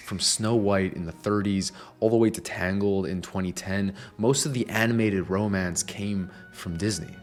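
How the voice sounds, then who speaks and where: A young man with a lowish voice reads a scripted video essay, earnest and a little nostalgic as he makes his case. Soft music plays quietly underneath his narration.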